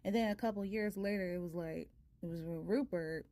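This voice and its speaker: A woman in her thirties with a soft voice, a tarot reader chatting casually and ramblingly with her viewers. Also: on the low side, brisk. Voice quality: soft-spoken. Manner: rambling, many fillers. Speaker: tarot reader, channel host.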